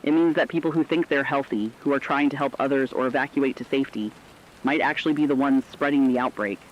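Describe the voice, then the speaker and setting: A man's voice: mid-range voice, quick pace, muffled recording, a little hiss or hum, natural voice. A man playing a concerned, empathetic radio co-anchor, giving scripted commentary in an earnest, sombre, reflective way. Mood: troubled, earnest. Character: concerned, empathetic male radio co-anchor dwelling on human suffering. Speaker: news co-anchor.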